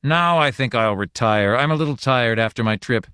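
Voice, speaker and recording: A man's voice: medium pitch, normal speed, bright, forceful tone, clear, resonant tone, dry recording, voice pushed up, lightly acted. A man voicing a small one-eyed alien god who speaks in a sour, lofty, noncommittal way, read dry and close. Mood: aloof.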